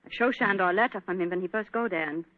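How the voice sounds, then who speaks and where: A woman's voice: medium pitch, fast, no echo, close to an everyday voice. An actress playing an anxious young female concert pianist from Vienna, speaking broken English with a German accent, in 1940s radio acting style, heard on a dry, tinny old radio broadcast.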